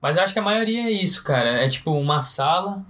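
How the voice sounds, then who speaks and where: A man's voice: high voice, expressive, fast talker, a bit bright. A man co-hosting a casual interview, making playful jokes and asking questions. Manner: playful, joking asides. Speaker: co-host.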